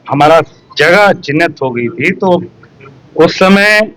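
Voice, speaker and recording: A man's voice: on the high side, animated, slow, fairly bright tone, no room sound, rough recording. A man speaking earnestly and rhetorically to reporters at a press interview. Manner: earnest, rhetorical, complaining about officials. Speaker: local activist interviewed by press.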